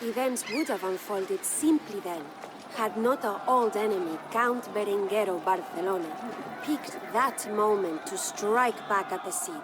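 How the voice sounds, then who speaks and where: A woman's voice: mid-range voice, a little slow, noisy background, lightly acted. An actress playing a proud, grieving medieval Spanish noblewoman who narrates her story in a solemn, stately, formal way for a video game. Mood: stately, reflective. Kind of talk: dramatic scripted storytelling. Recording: studio narration over prominent music.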